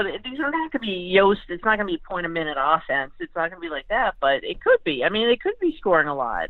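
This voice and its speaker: A woman's voice: lowish voice, no echo. A tinny, narrow phone connection carries a woman's voice, close and dry. She is a sports writer guesting on a talk show, chatting casually and working out her opinions aloud, often qualifying them as she goes. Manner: chatty, hedging, thinking aloud. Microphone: phone call. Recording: tinny, band-limited phone line.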